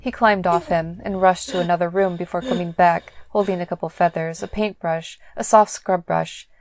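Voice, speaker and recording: A woman's voice: on the low side, fairly even intonation, moderate pace, a little mellow, dry recording. A woman reading scripted story narration as the narrator of an audio drama. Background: music.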